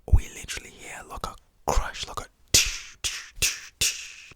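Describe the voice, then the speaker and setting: A young adult telling a story in a whispered, casual, slang-filled ASMR style. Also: a bit bright.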